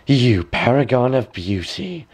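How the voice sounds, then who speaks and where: A man voicing a wicked, vain old queen who coaxes slyly in a theatrical, drawn-out way for a storybook. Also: medium pitch, very animated, darkish tone, husky, pitched above the performer's own voice, heavily acted. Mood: wicked, gloating.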